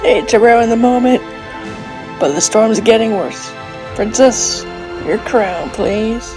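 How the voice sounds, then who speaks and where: A woman voicing a bold, gruff, commanding pirate-like sea queen in an animated comic dub. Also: fairly high pitch, slow, music in the background. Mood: serious. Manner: salty pirate speech, commanding.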